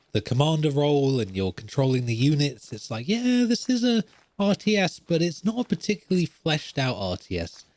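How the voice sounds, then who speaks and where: A man's voice: medium pitch, no echo. A man hosting a gaming podcast, talking in a casual, rambling, self-deprecating way as he thinks aloud.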